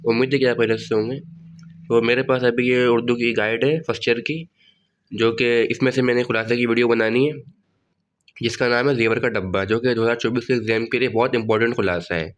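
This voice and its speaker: A hoarse man with a sore throat walking students through a study guide in a relaxed way, often saying "theek hai". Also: medium pitch, fairly quick, slight background noise. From Pakistan. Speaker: online tutor. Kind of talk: casual study-guide walkthrough.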